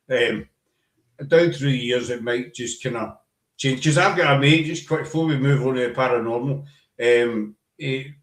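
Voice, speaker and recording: A man with a Glaswegian Scottish accent, hosting a casual chat and telling rambling anecdotes. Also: mid-range voice. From Scotland. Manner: chatty, rambling anecdotes with many fillers. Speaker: podcast host. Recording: echoey room.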